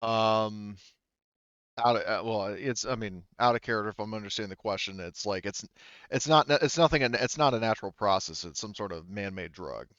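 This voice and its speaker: A man acting as game master in a tabletop roleplaying game, explaining things in a halting, improvised way. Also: fairly low pitch, fairly bright tone, no room sound, lo-fi sound.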